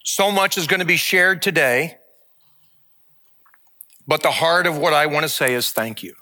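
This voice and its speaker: A man in his sixties, a lead pastor, giving a sermon and announcement in an earnest, measured, heartfelt way. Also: fairly high pitch, pushed and bright. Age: 60s.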